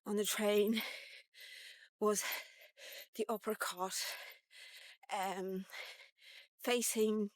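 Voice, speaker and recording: Woman, fifties, informal storytelling vlogger, very unhurried, pausing to think, dry close sound. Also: mid-range voice, dry recording. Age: 50s. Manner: halting, thinking aloud, with drawn-out pauses. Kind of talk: informal personal storytelling, told as a tale in third person. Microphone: walkie-talkie vlog, recorded on the go.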